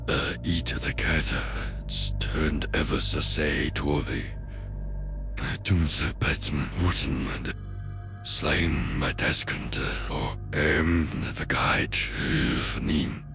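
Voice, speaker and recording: Very deep, filtered gravelly man reading horror. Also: steady tone, slow pace. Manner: garbled, stumbling delivery. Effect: deep dark villain voice filter. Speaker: amateur online horror story narrator. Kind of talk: horror story reading with joking asides. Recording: music behind, heavily filtered voice. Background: music.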